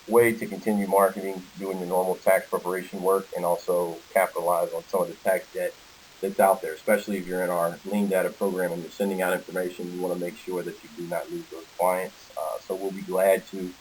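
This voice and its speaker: American man, low voice, informal slide-by-slide sales presenter, conference call audio, faint hiss. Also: a little mellow, low-quality recording.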